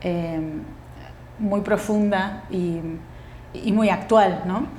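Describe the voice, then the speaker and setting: A woman in her forties with a Uruguayan Spanish accent, a guest actress speaking thoughtfully in an interview, pausing often with fillers and restarts. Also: medium pitch, steady tone, darkish tone, roomy sound, slight background noise. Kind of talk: reflective interview conversation.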